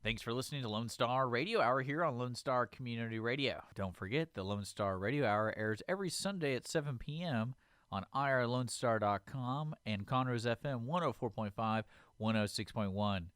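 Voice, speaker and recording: A man announcing the station's radio hour in a steady, plain announcer read. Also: medium pitch, moderate pace, smooth, no room sound, studio quality.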